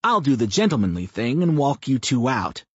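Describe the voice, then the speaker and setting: A man voicing a crude, loud, foul-mouthed man who jokes and mocks in an audiobook. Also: medium pitch, sing-song, normal speed, breathy edge, dry recording, highs cut off, pitched above the performer's own voice. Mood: brash. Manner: brash, swearing, mocking.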